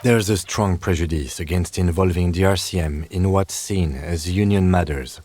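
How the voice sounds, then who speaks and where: An actor playing a calm, dry, dutiful police lieutenant in a video game, speaking in a measured, formal way. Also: male voice, low voice, no echo. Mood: matter-of-fact, serious. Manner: measured, matter-of-fact, formal. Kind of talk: video game dialogue acting.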